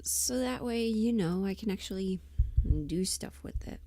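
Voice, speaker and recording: A woman chatting casually on a livestream, thinking aloud in a halting, self-deprecating way, often muttering to herself. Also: medium pitch, mellow, no room sound. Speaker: livestream host, voice performer.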